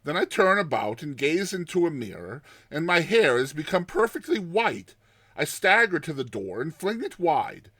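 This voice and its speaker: Horror storybook role, man acting: haunted man near madness, intense, drawn-out delivery, higher-set voice, very dry close sound. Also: fairly bright tone, no echo. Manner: intense and dramatic, drawing out words. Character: haunted, terrified man recounting a recurring nightmare, near madness. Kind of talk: dramatized horror short story reading.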